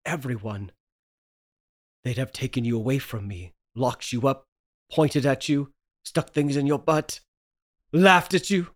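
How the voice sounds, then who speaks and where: A man acts out a doting husband in an old horror radio play. He is frantic and guilt-ridden, pleading in an overwrought, theatrical way, with a middling pitch. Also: a little slow, pauses often, close to an everyday voice. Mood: hushed, obsessive. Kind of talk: 1930s horror radio play table read.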